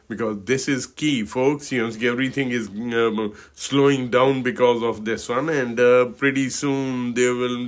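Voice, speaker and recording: Indian-accented male financial advisor, middle-aged, hesitant webinar delivery with constant fillers, mid-pitched voice. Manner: halting, many fillers and "you know, okay". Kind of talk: webinar presentation.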